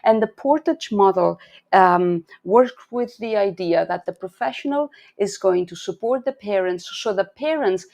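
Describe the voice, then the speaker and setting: A woman's voice: medium pitch, a little slow, a little mellow, highs cut off. A middle-aged woman from Barcelona with a Spanish accent, presenting a webinar for parents and teachers in an earnest, practical way with frequent restarts. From Barcelona, Spain. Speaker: early intervention specialist and webinar host.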